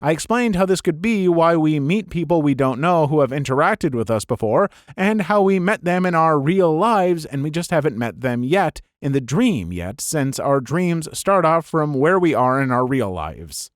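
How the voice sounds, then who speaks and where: A man reads viewer stories aloud in a soothing voice that still rises and falls in a lively way, sitting a little high for a man. His asides are chatty and self-mocking, and the sound is dry and close, with no room around it. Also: moderate pace, hardly any pauses, no room sound. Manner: lively, laughs at himself in the asides. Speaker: narrator of a storytelling channel.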